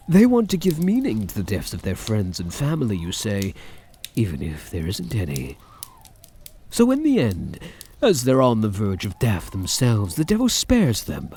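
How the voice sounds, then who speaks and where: Man acting as a slow, husky, seductive vampire count. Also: lowish voice, full character voice. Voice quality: soft, husky. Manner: teasing, deliberate, intimate, menacing. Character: possessive, seductive male vampire count toying with captive. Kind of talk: soft-spoken ASMR roleplay.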